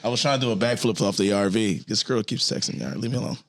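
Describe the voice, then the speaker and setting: A man co-hosting a podcast, raspy from a hangover and joking around in slangy banter. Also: lowish voice, rapid-fire. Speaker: podcast co-host.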